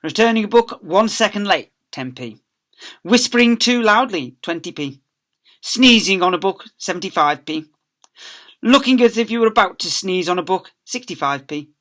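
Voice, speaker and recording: Bright, forceful man reading a story aloud. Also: high voice, lively intonation, moderate pace. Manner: theatrical emphasis with acted sound effects. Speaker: teacher reading aloud to schoolchildren. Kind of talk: animated storytelling.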